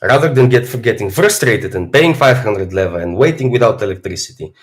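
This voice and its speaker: A man, hoarse from a cold, talking bluntly and philosophically in a casual livestream monologue as a fitness streamer. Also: on the low side, expressive, fairly quick. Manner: blunt, philosophizing, swears freely.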